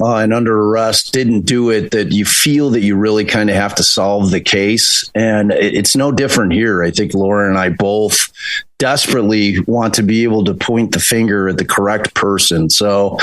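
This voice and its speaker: A man in his fifties, a defense attorney, answering interview questions in a relaxed, chatty way with frequent restarts. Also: fairly low pitch, no echo, rough recording. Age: 50s. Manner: relaxed, chatty, repeats words when starting a thought. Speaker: criminal defense attorney, podcast co-host.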